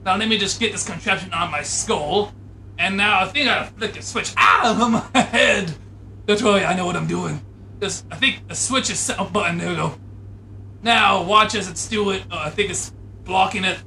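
Comic would-be supervillain inventor, male performer: high-pitched, excitable, rambling, forceful. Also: moderate pace, gravelly, slight background noise, full character voice. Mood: gleeful scheming. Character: excitable, scheming male inventor turning squirrel supervillain, easily panicked.

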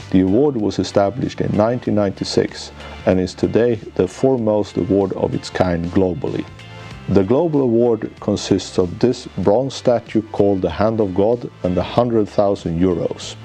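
A man in his forties, a managing director and economics professor, giving a formal, measured scripted presentation in an office lounge. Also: fairly low pitch, mellow. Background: faint background music.

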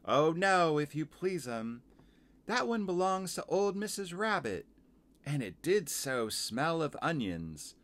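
High-pitched storybook hedgehog woman acted by a man, small and stout, fussy, servile, chatty, very lively intonation. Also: takes it slowly, full character voice. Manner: deferential, rustic servant phrasing, chatty. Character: small stout female hedgehog washerwoman, anxious to please, fussy. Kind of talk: children's storybook character voice.

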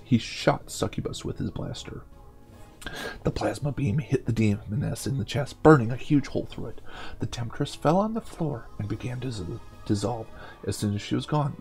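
A middle-aged man hosting a gaming show, reading a story aloud dramatically and dropping in wry, joking asides, with a gravelly, husky voice. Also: medium pitch.

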